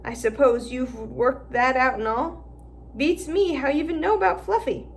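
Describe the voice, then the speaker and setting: A woman reading aloud and voicing a big, secretive gamekeeper man who talks roughly and drops word endings. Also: sits high, fairly even intonation, normal speed, a little hiss or hum. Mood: firm.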